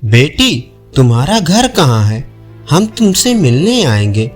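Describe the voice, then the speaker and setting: A soft-tempered, devout village husband in a moral tale, acted out by a man. He speaks slowly and earnestly with a lively melody. Background music runs underneath. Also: fairly high pitch. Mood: tender. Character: gentle, devout, generous young village husband.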